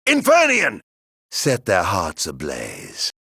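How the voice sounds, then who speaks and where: A sardonic, genteel game villain in middle age. His slow, drawn-out phrases swoop dramatically from low to high with a gravelly edge. He is flamboyant and mockingly polite throughout. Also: huge pitch swings, pushed and bright, no echo, studio quality, full character voice. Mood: furious, grandiose, then dry disdain. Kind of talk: video game battle voice lines.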